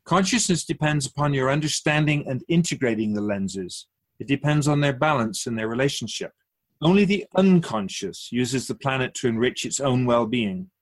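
A man playing a wise, otherworldly spirit in a radio drama, patient and gently teasing, speaking in a measured, formal way with musing questions. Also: mid-range voice, lively intonation, natural voice. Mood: solemn, earnest.

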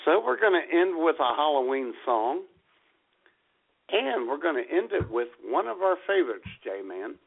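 A man co-hosts a radio show in casual, jokey banter, his voice swinging lively up and down. He sounds excitable and quick to laugh. The sound is thin and phone-like. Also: a little high, creaky.

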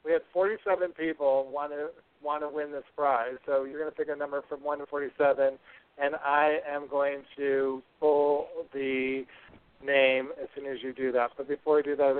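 A man's voice: medium pitch, fairly even intonation, normal speed. A man hosting a podcast, chatting casually and making announcements in a run-on, chatty way. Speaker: podcast host. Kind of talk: casual live show chat, announcements. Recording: narrow, tinny phone-like broadcast line.

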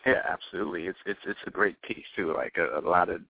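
Low raspy guy hosting a radio show, sounds like it's over a phone line, super dry. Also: fast, no echo. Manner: relaxed, repeats words while finding phrasing. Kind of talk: casual radio interview.

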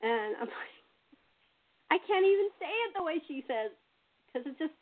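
A woman from California telling family anecdotes in casual chat, with a halting, conversational delivery. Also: sits high, sing-song. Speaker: radio playwright in a cast chat. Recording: thin phone-like call audio.

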